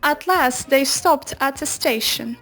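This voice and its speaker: A woman reads a children's storybook aloud. Her voice is high and she takes her time over the scripted narration, with light music underneath. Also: takes it slowly, fairly bright tone. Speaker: children's book reader.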